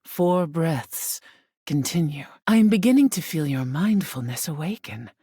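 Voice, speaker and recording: Breathy, haughty goddess of air. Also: female voice, sits low, expressive, unhurried, warm tone, dry recording, studio quality, full character voice. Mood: measured approval. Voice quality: breathy, often sinking into whisper. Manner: solemn, imperious, formal and archaic phrasing. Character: haughty, ethereal goddess of air, stern and easily wearied. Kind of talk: scripted video game character acting.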